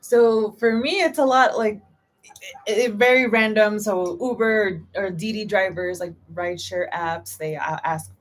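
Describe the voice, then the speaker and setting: A woman's voice: medium pitch, unhurried. A young woman from the United States hosting a live stream, chatting in a casual, upbeat way and dropping in Spanish phrases.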